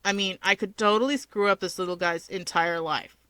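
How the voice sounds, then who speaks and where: A woman puts on a cocky young male wizard from a fan fiction story, with a forceful, bright edge. He is blunt, sardonic and sure of himself, and the sound is dry and close. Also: medium pitch, fairly even intonation, fairly quick, clean tone, dry recording, pitched below the performer's own voice, lightly acted. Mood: wary, questioning. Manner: blunt, sardonic, self-assured. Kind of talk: fan fiction character reading.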